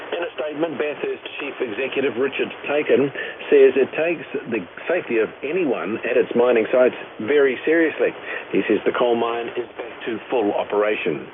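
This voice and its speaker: A man with a New Zealand accent reading a scripted radio news bulletin as presenter. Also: mid-range voice. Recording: noisy narrowband shortwave radio broadcast.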